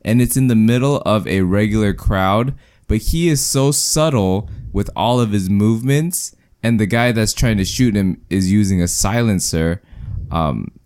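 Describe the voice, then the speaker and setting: A man co-hosting a casual film review podcast, talking animatedly in quick bursts with joking asides. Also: fairly low pitch, darkish tone. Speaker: podcast co-host.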